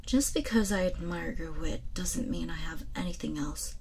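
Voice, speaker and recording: A woman playing a proud, sardonic captive royal in a roleplay audio drama, breathy and theatrical, with a taunting manner. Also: fairly low pitch, darkish tone, a little hiss or hum, close to an everyday voice. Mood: defiant. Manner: haughty, taunting, theatrical, deliberate.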